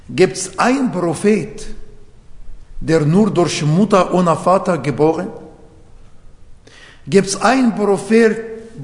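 A man whose first language is Arabic, preaching a sermon in German with grammar slips and many rhetorical questions, recorded in a church hall. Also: high-pitched. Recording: echoey hall with long reverb.

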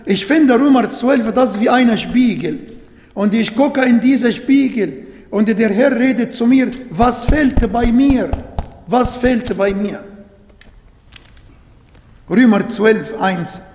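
A man preaching in German that is not his native tongue, with a fairly high voice and a slow, deliberate delivery. He repeats phrases and restarts sentences to drive each point home. The recording rings with long reverb, like a large church hall. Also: a little mellow. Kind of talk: sermon.

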